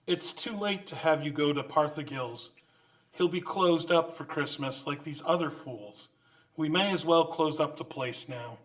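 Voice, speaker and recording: A man's voice: a little high, unhurried, a bit bright. A man playing a cold, miserly old businessman who is curt and scornful, in old-time radio drama style. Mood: grudging. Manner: curt, scornful, barking orders.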